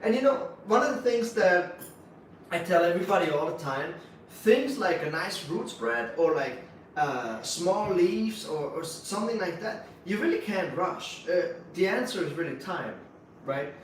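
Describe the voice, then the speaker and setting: A man with a Swiss accent co-hosting a casual bonsai livestream, explaining techniques in a relaxed, practical way with lots of fillers. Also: sits high, moderate pace, slight background noise. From Switzerland. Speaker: bonsai nursery co-host. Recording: echoey room, mic at a distance.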